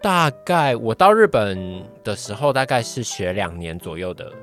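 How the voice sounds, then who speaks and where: A man's voice: lowish voice, very animated, normal speed, fairly bright tone, faint music behind. A young man with a Taiwanese Mandarin accent, chatting casually as an interview guest in a hesitant, modest way. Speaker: podcast guest, trainee voice actor.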